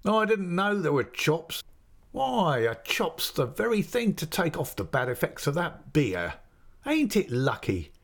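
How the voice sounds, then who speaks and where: A man reading aloud puts on a sly, talkative storybook inn waiter, his voice pitched well above the reader's own. He wheedles and gossips, swinging up and down with lively, bouncing inflections. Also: unhurried, full character voice. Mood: greedy delight. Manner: garrulous, wheedling, mock-dismayed. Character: chatty, greedy, sly male inn waiter.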